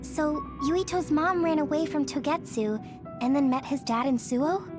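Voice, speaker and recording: A woman's voice: high voice, normal speed, over music, lightly acted. An actress voicing a curious, alert young girl teammate in a video game cutscene.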